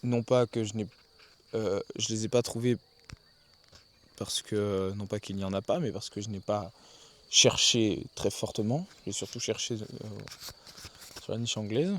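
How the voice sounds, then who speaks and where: A man's voice: lowish voice, a little slow, pauses often, fairly bright tone, a little hiss or hum. A man keeping a casual spoken diary outdoors in the countryside, thinking aloud and often restarting his sentences. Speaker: video journal keeper.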